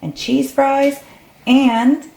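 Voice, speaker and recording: A woman's voice: sits high, steady tone, very slow, vocal fry, darkish tone. A woman reading a storybook aloud to young children. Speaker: storybook reader. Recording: roomy, echoey recording.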